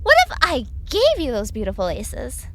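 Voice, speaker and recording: A woman playing a worried, snarky, protective young woman in an audio drama, expressive and sarcastic. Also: a little high, normal speed, very noisy. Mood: exasperated, sarcastic. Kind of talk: audio drama character acting.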